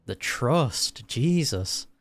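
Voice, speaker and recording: A man's voice: medium pitch, lively intonation, very slow. A man giving casual reaction commentary on a video game, informal and thoughtful, with blunt wording. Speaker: gaming reaction host. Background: game music.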